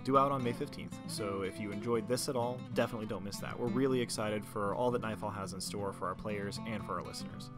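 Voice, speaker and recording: A man narrating as game master and hosting a tabletop role-playing podcast. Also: fairly low pitch.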